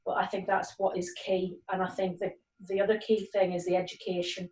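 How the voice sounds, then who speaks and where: A woman police sergeant in her forties speaks earnestly on a video call, pausing often on ums. Her delivery stays fairly flat. The audio is roomy and distant, as if she sits well back from the microphone. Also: mid-range voice. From Nigeria and Northern Ireland. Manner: earnest, many ums and restarts. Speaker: police community cohesion sergeant.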